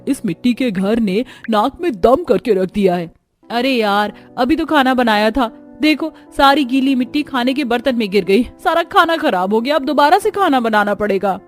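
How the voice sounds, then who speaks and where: High-pitched theatrical young daughter-in-law, acted by a woman. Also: expressive, normal speed, soft background music, natural voice. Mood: exasperated. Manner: theatrical, exclamatory complaining. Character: bickering young village daughters-in-law, playful and complaining. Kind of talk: Hindi moral-story cartoon dubbing.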